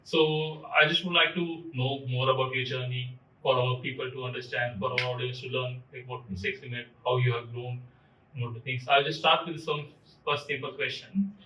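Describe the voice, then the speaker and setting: A man's voice: medium pitch, fairly even intonation, normal speed, pushed and bright, a little hiss or hum. A man hosting a podcast, introducing his guest and asking interview questions in a scripted way. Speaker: podcast host. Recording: roomy echo, distant mic, faint music.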